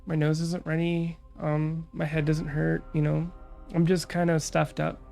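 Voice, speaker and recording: A young woman VTuber chatting calmly about her stream plans, her nose stuffed up from a cold, with lots of fillers. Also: low-pitched, measured pace, gravelly, soft background music. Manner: calm, relaxed, rambling with many fillers. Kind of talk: casual stream chat.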